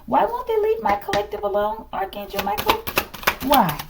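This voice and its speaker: A woman giving an improvised, informal tarot reading to an online audience, asking rhetorical questions, tagging her phrases with "okay" and dropping into hushed asides. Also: very high voice, very animated, normal speed, gravelly, heavy background noise. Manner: repeats rhetorical questions and verbal tags like "okay". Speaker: tarot reader, host of the reading.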